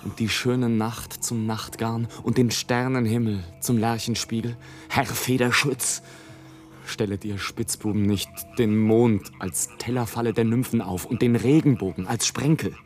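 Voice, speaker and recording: An actor playing a mocking, indignant balloonist who declaims and mutters theatrical asides in a radio play. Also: male voice, medium pitch, steady tone, measured pace, lightly acted. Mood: scornful, indignant. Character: sardonic, indignant male balloonist watching people from above. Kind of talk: German radio play, literary monologue. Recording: music under the voice.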